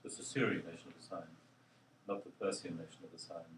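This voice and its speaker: An older man, a linguist, answering interview questions in a halting, thoughtful way with many pauses and ums. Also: low voice, fairly even intonation, noisy background. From Australia. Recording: roomy, distant mic with faint ambience.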